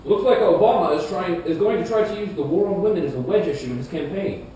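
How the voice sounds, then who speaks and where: A man's voice: fast talker, dark tone, close to an everyday voice. A man playing a formal debate moderator and commentator in a scripted puppet show. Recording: echoey room, distant mic, rumbling noise.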